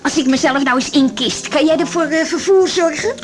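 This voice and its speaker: An actress playing a brazen, mocking alcoholic wife in a radio drama, with a provocative, slurring manner. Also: female voice, high voice, fairly quick, faint music behind, close to an everyday voice. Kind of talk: Dutch 1980s radio drama acting.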